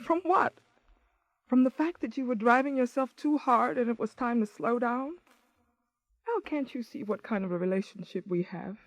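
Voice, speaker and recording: A woman's voice: a little high, moderate pace, dry recording, natural voice, rough recording. An actress playing a hurt, assertive wife confronting her husband in a radio drama, pointed and moving between sarcasm and earnestness. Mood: hurt, insistent. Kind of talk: 1980s radio drama acting.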